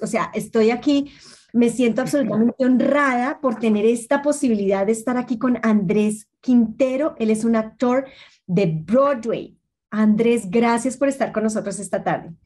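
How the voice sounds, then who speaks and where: A woman's voice: fairly high pitch, a little mellow. A woman hosting an interview podcast in a conversational, effusive and admiring way.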